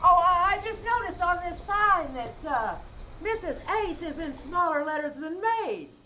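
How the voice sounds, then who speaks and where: A woman's voice: extremely high pitch, expressive, normal speed, pushed and bright, exaggerated voice, poor audio. A woman playing a scatterbrained, chatty young wife in a 1940s radio comedy, flustered and literal-minded, performed live on stage. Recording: live theater recording with background noise.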